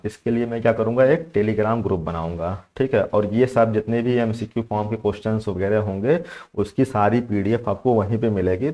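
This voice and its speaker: A man's voice: on the low side, a little mellow. A young man giving informal exam coaching, explaining step by step and repeating his points with frequent check-in tags. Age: young adult. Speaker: exam coach and channel host. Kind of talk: informal instructional talk to students.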